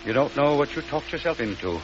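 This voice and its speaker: An actor playing an earnest young man torn in love, who speaks haltingly. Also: mid-range voice, expressive, lightly acted. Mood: hesitant. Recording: muffled old radio recording with music.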